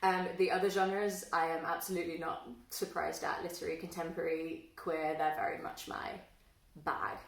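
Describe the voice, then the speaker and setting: Girl rambling about books, lots of ums, kinda low voice, roomy echo. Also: level tone, moderate pace, vocal fry. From London, England. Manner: self-deprecating, wry, many fillers. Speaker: book vlogger. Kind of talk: casual self-introduction to camera. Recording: echoey room, a little far from the mic.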